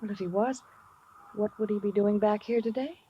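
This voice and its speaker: An actress playing a young woman, the daughter of the household, in a 1950s radio drama, light and expressive. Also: mid-range voice, fairly quick, lo-fi sound, a little hiss or hum, close to an everyday voice. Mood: light, curious.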